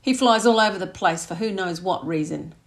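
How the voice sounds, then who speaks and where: A woman reading a romantic comedy aloud as expressive audiobook narration. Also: fairly low pitch, a little fast.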